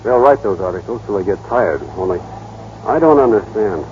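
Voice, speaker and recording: A man's voice: on the low side, lively intonation, brisk, darkish tone, slight background noise, lightly acted. An actor playing a shy, naive small-town American man in a vintage radio drama, hesitant, boyish and plainspoken. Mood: shy, earnest. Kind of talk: 1930s-40s radio drama acting. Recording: dull, muffled old radio broadcast.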